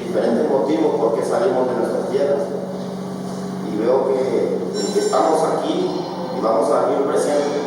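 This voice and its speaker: A man's voice: mid-range voice, a little slow. Earnest male participant, distant, echoey, noisy room. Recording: distant in echoey hall with noise.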